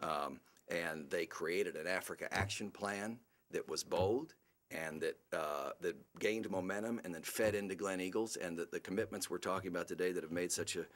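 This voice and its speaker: A man, an advocacy group's CEO, giving a formal policy speech at a report launch, earnest and halting with many restarts. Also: deep voice, fairly bright tone.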